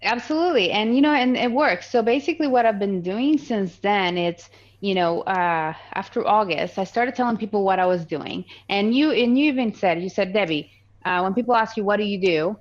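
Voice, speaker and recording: A woman's voice: medium pitch, fairly quick, highs cut off. A woman in her thirties from Colombia with a Colombian Spanish accent, Spanish her first language, talking earnestly with many fillers as a podcast guest. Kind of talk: casual interview.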